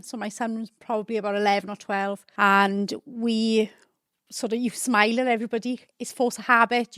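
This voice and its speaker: A woman's voice: medium pitch, dry recording, studio quality. A middle-aged woman from the South Wales Valleys with a Valleys accent, a podcast guest talking earnestly in long stretches. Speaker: guest from a housing membership team. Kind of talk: casual conversational interview.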